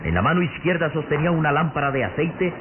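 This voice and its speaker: A man's voice: fairly high pitch, big pitch swings. Theatrical male narrator on tinny radio. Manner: theatrical, suspenseful, deliberate phrasing. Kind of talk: dramatic scripted radio drama narration. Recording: noisy, tinny old radio drama recording.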